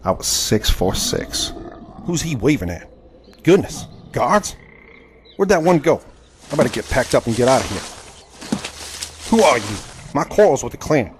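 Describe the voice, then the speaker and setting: A man's voice: mid-range voice, big pitch swings, normal speed, long pauses, a little hiss or hum, natural voice. An actor playing a proud, indignant Southern crossbowman of the 1870s in an audio drama, with formal, theatrical speech. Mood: tense muttering. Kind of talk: audio drama with first-person narration.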